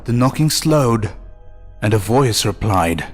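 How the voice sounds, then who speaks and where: A man's voice: on the low side, animated, slow pace. Man narrating horror over music. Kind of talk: first-person scripted horror story narration.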